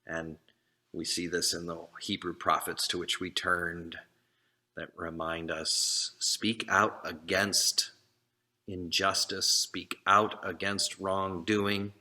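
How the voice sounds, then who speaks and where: A man preaching a sermon as a minister, speaking earnestly in short, deliberate phrases. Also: low-pitched, level tone, pushed and bright.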